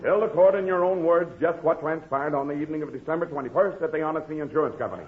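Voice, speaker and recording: An actor playing a stern, formal courtroom prosecutor with impatient, declamatory delivery in 1940s radio comedy style. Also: male voice, a little high, quick pace, natural voice. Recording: muffled, noisy old radio recording.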